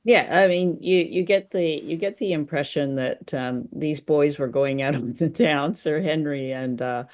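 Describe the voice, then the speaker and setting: A woman's voice: low voice, unhurried, darkish tone. A woman co-hosting a casual discussion, phrasing her thoughts hesitantly and reflectively. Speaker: podcast co-host.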